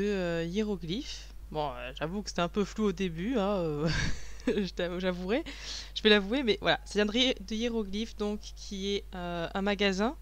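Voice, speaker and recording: A woman and mother of a young child, talking over her unboxing video afterwards in a chatty, self-mocking way, with many fillers and restarts. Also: medium pitch. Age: adult, a mother of a young child. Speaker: vlogger reviewing a package. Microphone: voice-over recorded afterwards, not while unboxing.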